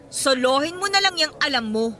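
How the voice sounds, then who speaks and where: A wronged single mother in a Filipino radio drama. Her voice is high and piercingly bright, swinging widely as she fires back with bitter sarcasm. Music plays underneath. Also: lively intonation, normal speed. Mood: hurt, angry. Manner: sarcastic, confrontational.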